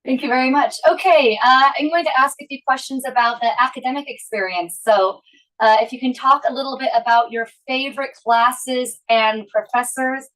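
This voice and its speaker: A woman's voice: high-pitched, fairly even intonation, normal speed, fairly bright tone. A woman informally moderating a panel at an event, speaking at some distance from the microphone. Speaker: panel moderator, project manager.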